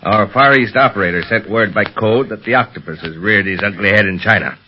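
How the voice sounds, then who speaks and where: Man playing authoritative police chief, lowish voice, measured, commanding, 1930s radio drama, muffled old recording. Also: a little hiss or hum, natural voice. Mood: firm.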